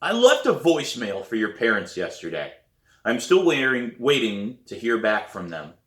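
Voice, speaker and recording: A man's voice: mid-range voice, sing-song, moderate pace, natural voice. A man reading a children's novel, playing a stern, formal and intimidating male school principal. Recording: roomy echo.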